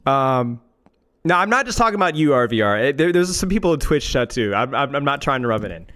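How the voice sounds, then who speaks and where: A man's voice: medium pitch, very animated, fast talker, fairly bright tone. A man streaming live, chatting casually to his viewers in an exasperated, self-mocking, rambling way.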